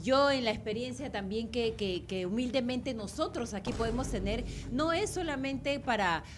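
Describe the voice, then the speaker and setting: A woman's voice: a little high, normal speed, noisy background. A woman with a Peruvian Spanish accent co-hosting a political talk show, speaking earnestly and emphatically in casual discussion.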